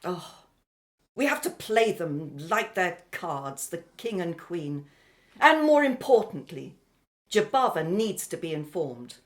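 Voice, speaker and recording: A woman's voice: on the low side, sing-song, slow pace. A woman playing a bitter, scornful young woman in a radio drama, accusing and emphatic in her delivery. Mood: bitter, resolved.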